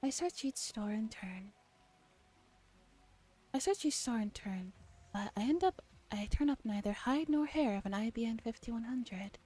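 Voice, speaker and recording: A woman streamer reading a visual novel aloud in a soft ASMR style, often dropping to a whisper. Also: on the high side, expressive, unhurried. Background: background music.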